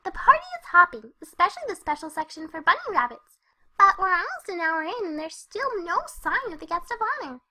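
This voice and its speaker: A woman's voice: very high voice, big pitch swings, a little fast, pushed and bright, full character voice. A woman voicing a hyper, cheerful young female cartoon pony who narrates her story in a chatty, scatterbrained way. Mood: excited, chatty. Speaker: first-person narrator of a fan fiction reading. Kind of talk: animated character narration.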